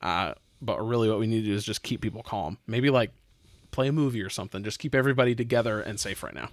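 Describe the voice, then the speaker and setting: A man's voice: lowish voice, quick pace, no room sound. Casual man, dry close recording. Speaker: tabletop game player. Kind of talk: casual improvised roleplay chat.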